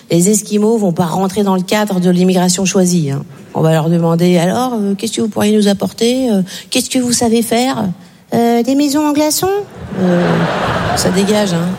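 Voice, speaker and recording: A woman's voice: medium pitch, normal speed, mellow. A woman performing a stand-up monologue in a sardonic, provocative, conversational way. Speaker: stand-up comedian. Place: stage of a comedy show. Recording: reverberant stage hall with background noise.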